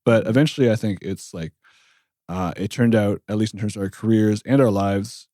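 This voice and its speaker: A man in his thirties, a jazz bassist, chatting in an interview with a loose, rambling storytelling manner. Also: normal speed, dark tone, no room sound, studio quality. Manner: rambling storyteller, frequent "like" and restarts. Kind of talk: casual interview chat.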